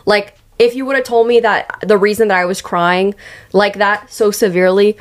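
A woman's voice: mid-range voice, fairly even intonation, normal speed. A young woman co-hosting a podcast in casual, comedic chat, blunt, sweary and joking. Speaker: podcast co-host.

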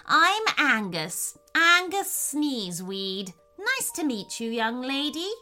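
A woman's voice: high voice, very animated, takes it slowly, sharp tone, full character voice. A woman voicing a kindly, slightly flustered old witch in a children's story, chatty and exclamatory. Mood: friendly, chatty. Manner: exclamatory, fussy, repeats herself.

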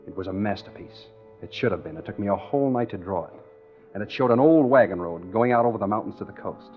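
A man's voice: fairly low pitch, animated, quick pace, natural voice. An American actor playing a tough, scheming engineer who tells his own swindle in hard-boiled 1940s radio drama style. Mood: hard-boiled, confiding. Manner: hard-boiled first-person storytelling. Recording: dull 1940s radio recording with music.

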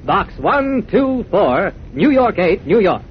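A man delivering an eager, emphatic sponsor pitch in 1940s radio style. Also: big pitch swings, slow, lo-fi sound. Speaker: commercial co-announcer. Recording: rumbly, noisy old radio recording.

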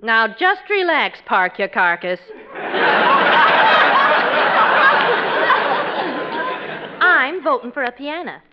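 Dry sitcom wife over loud audience noise. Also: female voice, high voice, very animated, normal speed, over a noisy crowd. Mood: dismissive. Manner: dry, dismissive. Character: practical, unimpressed sitcom wife and mother. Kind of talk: classic American radio comedy acting. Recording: dull, noisy radio studio with audience.

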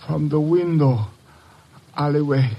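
An actor playing a mortally wounded shopkeeper, weakly gasping out words in an old radio drama, on a muffled, noisy recording. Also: male voice, fairly high pitch, a little slow. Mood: dying, strained. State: weak, gasping, dying.

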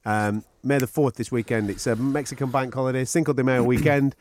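A man's voice: mid-range voice, steady tone, brisk, darkish tone. A man hosting a boxing podcast in casual chat, chatty and swearing freely.

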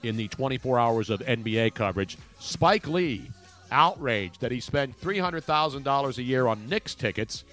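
A man's voice: medium pitch, expressive, moderate pace, a bit bright, faint music behind. A man from Philadelphia hosting a talk radio show, joking around in mock outrage and rambling. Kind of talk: casual sports talk radio.

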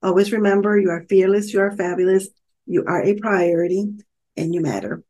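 An upbeat woman hosts her own podcast, speaking at a middle pitch. She talks in a warm, encouraging way and fills her pauses with frequent "um"s. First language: not Spanish; English first language. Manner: upbeat, encouraging, many "um" fillers. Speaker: podcast host and author. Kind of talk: solo podcast talk.

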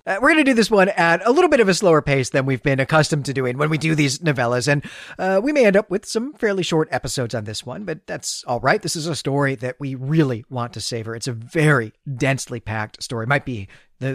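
A man's voice: medium pitch, no room sound, studio quality. A man co-hosting a podcast, talking in a chatty, appreciative and conversational way. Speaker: podcast co-host.

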